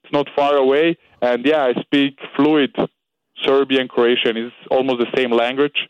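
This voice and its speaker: A young man with an Austrian German accent, a fighter being interviewed, speaking halting English with many "you know"s. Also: mid-range voice, little pitch movement, smooth, no room sound, dull audio. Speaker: guest fighter. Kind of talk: interview over a call.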